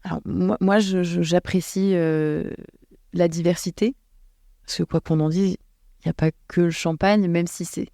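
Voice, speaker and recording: Low gravelly-voiced woman, mellow tone. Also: fairly even intonation, measured pace, no room sound, clean studio sound. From France. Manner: thoughtful, hesitant with many restarts. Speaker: guest. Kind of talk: casual podcast conversation.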